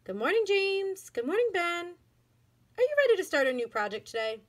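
A woman's voice: very high-pitched, big pitch swings, a little fast, voice pushed up. A woman voicing a nice, cheerful fourth-grade teacher in a storybook, singing out greetings.

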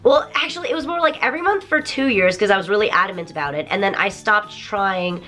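Croaky-voiced woman chatting to camera. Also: mid-range voice, quick pace, faint music behind. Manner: chatty, animated, apologetic. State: croaky from a sore throat. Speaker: YouTuber. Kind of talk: casual vlog to camera. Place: bedroom studio with toy-filled shelves.

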